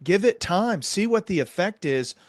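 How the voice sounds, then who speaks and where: A man's voice: high-pitched, lively intonation, normal speed, no room sound, clean studio sound. A man hosting a podcast, explaining things conversationally in an earnest, reflective way. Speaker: podcast host; psychiatric doctor.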